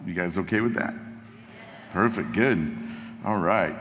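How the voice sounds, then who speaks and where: A man's voice: low-pitched, animated, unhurried, fairly bright tone, a little hiss or hum. A young man, a youth pastor, giving a casual sermon and reading scripture aloud into an amplified microphone in a church. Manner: casual and self-deprecating, reads scripture with rising emphasis. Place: church hall with amplified sound. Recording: long hall reverb.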